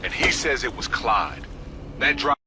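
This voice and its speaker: A man's voice: medium pitch, big pitch swings, measured pace, noisy background. An actor playing a tough young male mercenary soldier in a game, swearing and giving radio calls. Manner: tough, profane. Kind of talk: video game dialogue. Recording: game audio with loud music.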